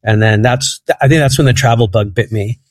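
A man's voice: mid-range voice, a little fast. A man from Egypt, a comedian guest on a podcast, telling stories in a rambling way and laughing at them. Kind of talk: casual interview chat.

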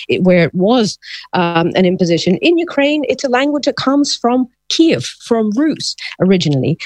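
A woman speaking as a guest on a news programme. Her voice is mid-pitched and dry. She argues a point firmly while stumbling over fillers and restarts. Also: no room sound. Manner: argumentative, hesitant with many fillers. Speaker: interview guest. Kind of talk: radio interview answers.

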